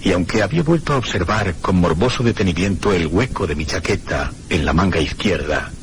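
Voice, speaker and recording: An actor with a Castilian Spanish accent playing a troubled traveller who narrates an eerie radio drama in a measured, literary way. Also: male voice, low voice, lively intonation, a little hiss or hum. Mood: brooding, reflective. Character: troubled, brooding male traveller recounting an eerie tale. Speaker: narrator and protagonist. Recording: radio drama with music behind. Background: prominent music.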